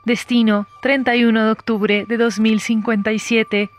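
A woman voicing the calm, formal system of a time-travel device, reading announcements in a measured, impersonal way. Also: a little high, faint music behind, natural voice. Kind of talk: scripted announcements.

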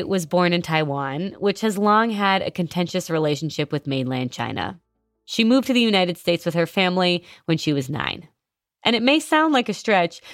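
A woman host narrating a scripted story and interviewing a guest, with a measured explanatory delivery. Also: on the low side, no echo, studio quality.

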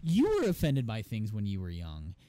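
A man's voice: lowish voice, very animated, moderate pace, mellow, clean tone, dry recording. A young man with a phlegmy, strained voice, telling a heated, profane story about a bad manager in casual chat. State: phlegmy, losing his voice.

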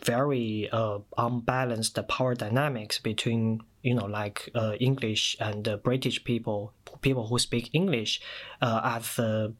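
A man in his thirties from China, Mandarin native, with a Chinese accent, telling personal stories as a podcast guest with many pauses and fillers. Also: mid-range voice, fairly even intonation. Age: 30s. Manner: thoughtful, many "uh" fillers and restarts. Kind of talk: personal storytelling.

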